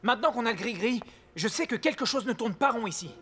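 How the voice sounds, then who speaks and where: A man's voice: very high-pitched, expressive, quick pace, a bit bright. A man in a French cartoon dub playing a confident, earnest young star footballer. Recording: echoey, long reverb, music behind.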